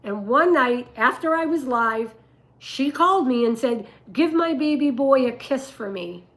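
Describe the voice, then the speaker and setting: An older woman with a rough voice, telling stories and giving advice casually on a livestream, in an emphatic, deliberate way. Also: high voice, lively intonation, fairly bright tone, rough recording. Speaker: dog breeder and livestream host.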